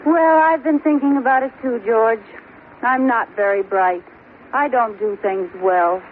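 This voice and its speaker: An actress playing a tearful, self-pitying, comically insecure young housewife in an old radio sitcom, whiny and dramatic. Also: female voice, fairly high pitch, unhurried, narrow, tinny audio, close to an everyday voice. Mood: dejected. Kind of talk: 1950s radio sitcom acting. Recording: scratchy old radio broadcast, studio audience, noisy.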